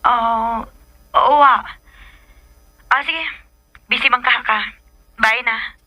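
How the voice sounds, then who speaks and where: A woman's voice: a little high, fairly even intonation, takes it slowly, dry recording, muffled recording. A woman acting a hurt, reproachful young girlfriend in a Filipino radio drama, with a Bisaya accent.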